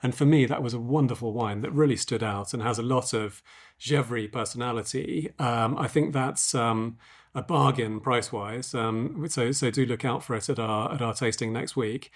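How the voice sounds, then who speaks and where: Croaky-voiced man discussing wine. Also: mid-range voice, normal speed. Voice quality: hesitant, frequent ums. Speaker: wine merchant podcast co-host. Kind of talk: casual expert discussion.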